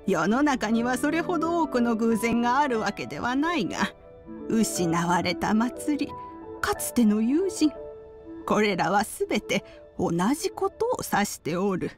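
A woman's voice: fairly high pitch, big pitch swings, unhurried. An actress playing a wise, kindly old grandmother in a video game, with archaic phrasing and a nostalgic air. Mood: grave, knowing. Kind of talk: video game character dialogue. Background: background music.

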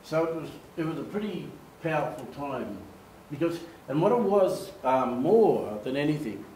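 An older man from Australia, giving an animated storytelling talk at a lectern in a meeting room. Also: on the high side, moderate pace, a little hiss or hum. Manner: animated, gestures, acts out quoted voices. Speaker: speaker giving a slide talk. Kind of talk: storytelling presentation. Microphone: far camera. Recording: echoey room, faint ambience.

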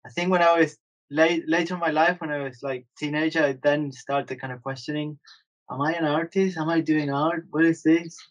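A man's voice: a little high, steady tone, normal speed, lo-fi sound. A man in his thirties from Argentina, an artist talking casually and hesitantly in an interview, with many fillers and restarts.

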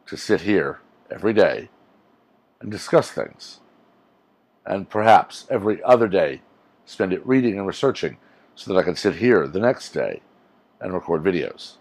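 Deep-voiced man ranting earnestly to camera. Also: darkish tone. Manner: earnest, rambling, emphatic. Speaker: YouTuber. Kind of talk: casual rant to camera. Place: small room with green backdrop, indoors. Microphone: lapel mic.

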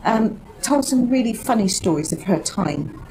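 A woman's voice: on the high side, expressive, normal speed, gravelly, a little mellow. A woman, chatting hesitantly with ums in a small cabin. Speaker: interviewee, host's wife. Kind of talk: casual chat. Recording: noisy, faulty mic.

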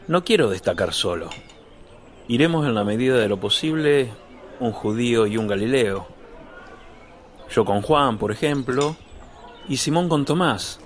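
A man's voice: medium pitch, animated, a little slow, long pauses, close to an everyday voice. A man playing an eager, self-assured young male disciple in a radio drama, talking in a vivacious, insistent way. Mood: eager, assertive.

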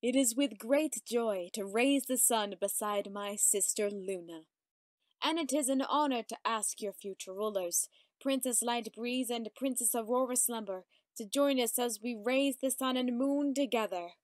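Woman playing a high-voiced, gracious pony queen. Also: moderate pace, no echo, studio quality, natural voice. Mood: regal. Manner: reassuring, regal. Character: gracious royal pony queen, formal yet forgiving. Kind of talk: animated cartoon dialogue.

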